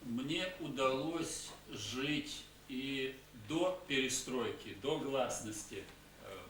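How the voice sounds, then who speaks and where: Halting man speaking in an echoey hall. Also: mid-range voice, fairly bright tone. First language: Russian. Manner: halting, many hesitation sounds. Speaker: conference speaker. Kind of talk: conference talk with pauses for interpreter. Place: conference hall. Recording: echoey hall, distant mic, faint hiss.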